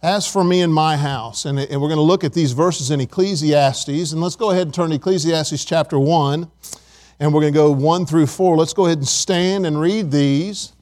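A man's voice: fairly high pitch, moderate pace, darkish tone. A man in his fifties preaching a sermon, reading scripture and praying, in an earnest, conversational way with frequent repeated words. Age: 50s. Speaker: pastor.

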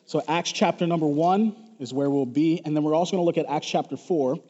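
Hoarse pastor in an echoey church. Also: male voice, a little high, a little fast, mellow. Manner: joking, casual, talks to the congregation. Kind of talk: sermon. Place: church sanctuary. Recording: dull echoey church hall recording.

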